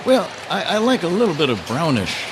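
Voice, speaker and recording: A man calling baseball play-by-play live on the radio, slipping wry, casual banter between pitch calls. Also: huge pitch swings, brisk. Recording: clear ballpark noise behind. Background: outdoor stadium ambience.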